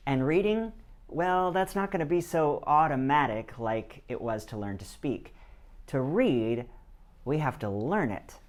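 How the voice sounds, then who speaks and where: A man presenting a scripted educational video in the first person, with an expressive, explanatory delivery. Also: medium pitch, a little slow. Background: background music.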